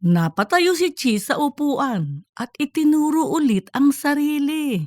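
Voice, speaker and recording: A woman's voice: high voice, sing-song, unhurried, a little mellow, no echo, clean studio sound. A woman reading scripted narration for a comedic audiobook.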